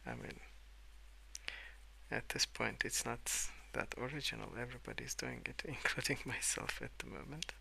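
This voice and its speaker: A man speaking in a soft, hushed ASMR ramble, drifting between murmur and whisper in a hesitant, unhurried way. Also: medium pitch, wildly swooping pitch, vocal fry, slight background noise, low-quality recording. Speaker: host of an ASMR colouring channel. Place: indoors at a wooden desk.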